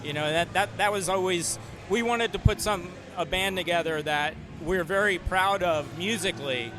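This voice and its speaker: A male drummer answering interview questions outdoors in a relaxed, earnest way, with lots of fillers. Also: high-pitched, moderate pace. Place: outdoors at a noisy event. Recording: outdoor mic with loud music behind.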